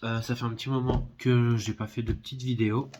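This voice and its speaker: A man's voice: medium pitch, flat delivery, fairly quick, a little mellow, slight background noise. A man with a stuffy head cold casually presenting a collector's box set, hesitant and friendly, with lots of filler sounds. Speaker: film collector YouTuber. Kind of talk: casual unboxing video.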